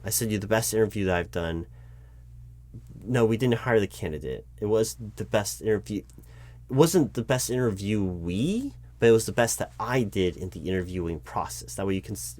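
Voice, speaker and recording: A man playing an exasperated corporate hiring manager in a one-sided interview roleplay, talking in a rambling, halting way with stammers and self-corrections. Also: lowish voice, darkish tone, lightly acted. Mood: flustered, explaining. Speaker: roleplay performer. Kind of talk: improvised one-sided job interview roleplay, spoken aloud.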